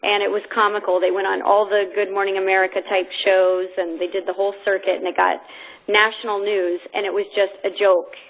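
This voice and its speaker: A woman hosting a conference call, giving an informal, rambling and persuasive motivational pitch over the telephone. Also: little pitch movement, brisk. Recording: muffled, band-limited conference phone line.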